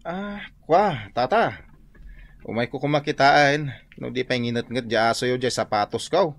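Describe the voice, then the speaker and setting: A man's voice: medium pitch, moderate pace, fairly bright tone, dry recording, natural voice. A man playing a grumbling, gullible old village husband in an Ilocano radio comedy drama. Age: older.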